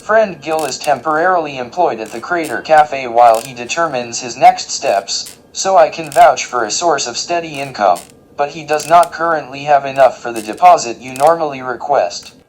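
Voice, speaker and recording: An actor playing a polite, literal-minded robot diner worker in audio fiction, speaking formally and precisely with deadpan earnestness. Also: mid-range voice, moderate pace, close to an everyday voice, low-quality recording.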